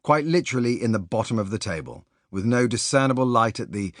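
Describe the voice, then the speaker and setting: A man reading a sports biography as an audiobook narrator, in an expressive, measured storytelling manner. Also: medium pitch, no echo, studio quality.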